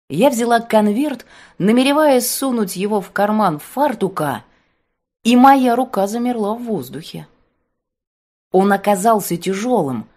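A woman's voice: mid-range voice, darkish tone. A woman narrating a novel as an audiobook in a dramatic scripted reading style.

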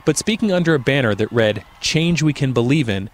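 A man narrating a scripted news report in a measured, even way. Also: mid-range voice.

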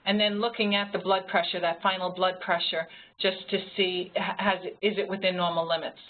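A woman panelist answering questions informally over the phone, rephrasing haltingly as she explains. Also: lowish voice, level tone. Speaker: panelist, home health professional. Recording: phone-like line with faint noise.

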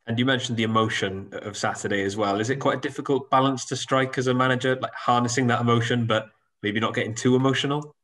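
A man's voice: mid-range voice, fairly even intonation, quick pace, a bit bright. A man, a reporter, asking polite questions at a press conference over a video call.

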